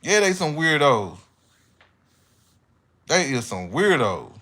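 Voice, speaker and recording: A man's voice: a little high, sing-song, slow, pushed and bright. An American man giving a casual, opinionated monologue as an online commentator, speaking bluntly with heavy slang and swearing. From United States.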